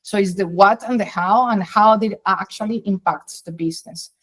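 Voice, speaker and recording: A middle-aged Colombian woman speaking English with a Spanish accent, her voice sitting at an ordinary middle pitch. She explains in long, flowing runs, circling back and rephrasing for emphasis. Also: normal speed. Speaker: guest, data science executive. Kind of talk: interview answers, conversational.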